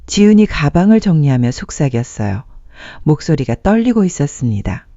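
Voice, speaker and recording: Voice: medium pitch, very animated, normal speed, warm tone, no echo. A narrator reading a scripted dramatic story as a storyteller. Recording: muffled dry studio sound.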